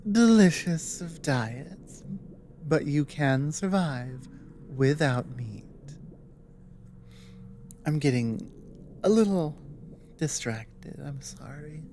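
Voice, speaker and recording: A woman playing a weary, earnest dragon ally with magic in an ASMR audio roleplay, speaking in a measured, halting way. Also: low voice, warm tone, slight background noise. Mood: earnest, reassuring, then subdued, weary.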